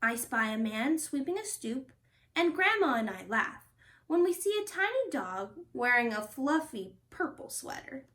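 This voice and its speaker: A woman hosting a storytime, reading a children's picture book aloud with expressive, deliberate phrasing. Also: sits high. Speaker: storytime host and reader.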